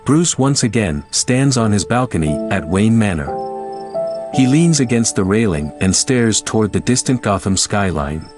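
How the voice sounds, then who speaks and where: Dude narrating an audio drama script, lowish voice, music underneath. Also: male voice, expressive, normal speed, a little mellow. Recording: music behind the voice.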